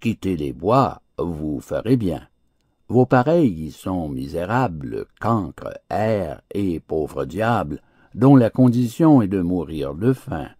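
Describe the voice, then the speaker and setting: A man's voice: low-pitched, sing-song, takes it slowly, mellow, breathy edge, no echo, clean studio sound, heavily acted. A man voicing a big, well-fed storybook mastiff, complacent and persuasive, servile to his masters. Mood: smug, coaxing.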